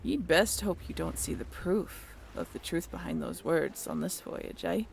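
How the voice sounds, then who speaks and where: A woman's voice: fairly low pitch, expressive, measured pace, gravelly, a little hiss or hum, pitched below the performer's own voice, exaggerated voice. A woman playing a salty female bosun in a roleplay audio drama, talking in theatrical speech with a teasing, instructing manner. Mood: ominous, teasing. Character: salty female bosun of a haunted sailing ship.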